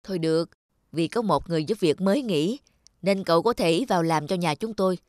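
Cartoon mistress of a rich household female actor: lowish, haughty, commanding, exacting. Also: darkish tone, lightly acted. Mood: businesslike. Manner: stern, commanding, brisk. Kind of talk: dubbed children's cartoon dialogue.

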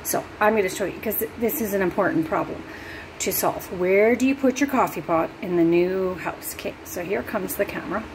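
A woman's voice: mid-range voice, fairly quick, background noise. A middle-aged woman, a music teacher, chatting upbeat to her students as she walks through her house with her phone. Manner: chatty, upbeat, talks directly to viewers. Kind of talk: casual home tour vlog to students. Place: small home rooms, indoors. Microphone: phone camera held by hand.

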